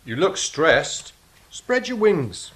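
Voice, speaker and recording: A man's voice: on the high side, very animated, slow pace, fairly bright tone, heavily acted. A man playing a dreamy, earnest, soothing angel helper in an audio drama, with a wistful manner. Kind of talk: stage-style audio drama acting.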